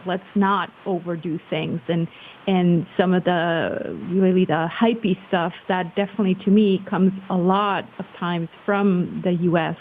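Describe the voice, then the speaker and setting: A woman from Switzerland speaking as an interview guest, thoughtful and hesitant in casual conversation. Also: on the low side. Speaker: interview guest, marketing professional. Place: remote call. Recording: muffled phone-like line with hiss.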